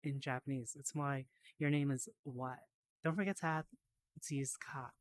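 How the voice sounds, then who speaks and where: A woman live-streaming in a VR headset, chatting casually and reading out phrases. She sounds tired and a little hoarse, like she is losing her voice. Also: extremely low pitch, fairly even intonation. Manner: chatty, reads aloud slowly, laughs easily. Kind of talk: casual live-stream chat while learning Japanese. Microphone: VR headset mic.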